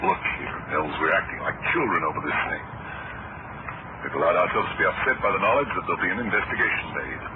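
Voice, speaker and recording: An actor playing a stern, indignant middle-aged surgeon, formal and clipped, in old-time radio drama style. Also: male voice, low voice, expressive, fast talker. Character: stern, authoritative male surgeon, indignant under suspicion. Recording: muffled, very noisy old radio recording.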